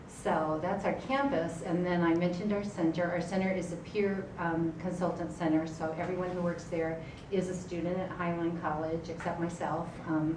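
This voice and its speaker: Low flat-voiced woman presenting at a panel. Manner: relaxed, many ums and restarts. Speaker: writing center director, panel moderator. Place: conference room. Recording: echoey room, distant mic, background noise.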